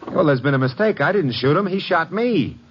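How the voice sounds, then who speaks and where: A man's voice: on the high side, lively intonation, fairly quick, slight background noise, lightly acted. An actor playing a cocky, wisecracking American legman in a radio detective drama, breezy, flippant and wry. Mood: dazed, indignant. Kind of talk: 1940s-50s radio detective acting. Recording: dull old radio recording.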